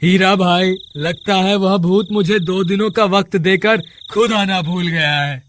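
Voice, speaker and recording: A man's voice: high-pitched, measured pace, fairly bright tone, a little hiss or hum. A man voicing a frightened village man in a horror cartoon, pleading and respectful in an exclamatory way. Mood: calling, coaxing. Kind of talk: Hindi horror cartoon character voice acting.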